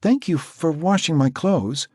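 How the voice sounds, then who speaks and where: A man's voice: a little mellow, slightly rough, no echo, studio quality. A man doing a frightened tearful runaway girl. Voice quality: high, trembling, halting. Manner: stammers and sobs.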